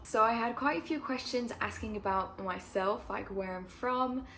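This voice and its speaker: A woman's voice: fairly high pitch, moderate pace, bright, forceful tone, slight background noise. A young woman from Switzerland with a British accent tinged northern, chatting casually to camera and answering viewer questions with lots of ums and likes. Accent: northern English-tinged British. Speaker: climber and video creator.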